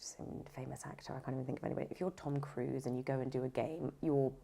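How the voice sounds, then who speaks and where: Low-voiced woman with a creaky edge. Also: steady tone, quick pace, warm tone. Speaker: voice actor, audiobook narrator, podcast guest. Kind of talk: casual interview conversation.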